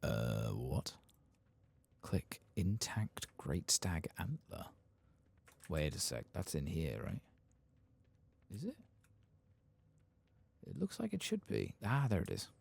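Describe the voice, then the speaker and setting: Very deep, gravelly soft-spoken man. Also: huge pitch swings, slow, pauses often. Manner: casual, muttering asides, mild swearing. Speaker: ASMR gaming streamer. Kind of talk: soft-spoken game playthrough commentary.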